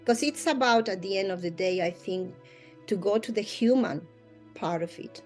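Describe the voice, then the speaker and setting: Spanish-native woman mediator, earnest, laughs a lot, soft music under her voice. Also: medium pitch, fairly quick. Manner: earnest, laughs often, slight non-native phrasing. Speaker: mediator, interview guest. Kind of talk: reflective interview answers. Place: Australia.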